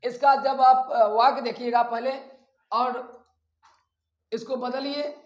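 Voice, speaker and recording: A man's voice: extremely high pitch, little pitch movement, slow pace, muffled recording. A man with an Indian accent teaching grammar step by step, dictating English examples word by word. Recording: echoey room.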